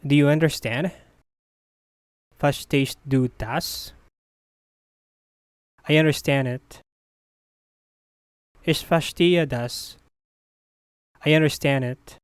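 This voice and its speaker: A language teacher delivers a scripted phrase lesson at an extremely slow pace. The voice is mid-pitched and deliberate, repeating short phrases for learners. The sound is dry and close, with no room around it. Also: clean tone, dry recording.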